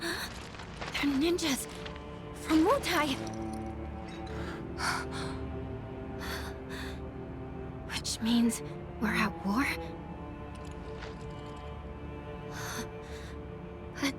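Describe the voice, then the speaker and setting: A woman playing a cocky, excitable young female ninja in a video game, chatty, brash and boastful. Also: high voice. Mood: shocked, upset. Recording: loud music behind the voice.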